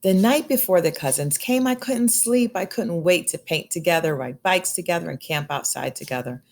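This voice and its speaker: Low-voiced woman reading a children's storybook. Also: expressive, moderate pace, darkish tone. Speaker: storybook reader. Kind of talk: expressive children's picture book read-aloud.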